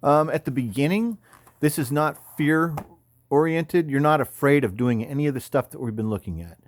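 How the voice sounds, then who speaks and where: A man's voice: fairly high pitch, moderate pace. An American man in his fifties, an army veteran, talking in a casual, rambling, slangy way as he comments on photos. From California, United States. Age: 50s. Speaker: army veteran, former paratrooper and combat medic.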